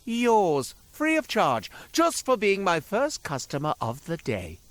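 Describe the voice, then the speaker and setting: A man's voice: high-pitched, wildly swooping pitch, slow, a little hiss or hum, exaggerated voice. A man playing a flamboyant devilish roadside merchant in a video game, with florid, theatrical, ingratiating sales patter. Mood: ingratiating, brisk. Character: flamboyant devilish roadside curio merchant, male, wheedling and grandiose.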